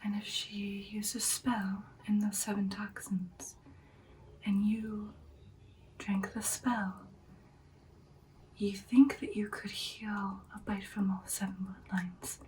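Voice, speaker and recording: A woman playing a devoted, hesitant vampire girlfriend in an ASMR roleplay, speaking in a soft, breathy, halting whisper. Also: mid-range voice, little pitch movement, recorded from a distance, slight background noise, close to an everyday voice, low-quality recording. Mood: pondering, hesitant. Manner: soft, halting, deliberate, intimate. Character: devoted, hesitant female vampire girlfriend plotting with her werewolf lover.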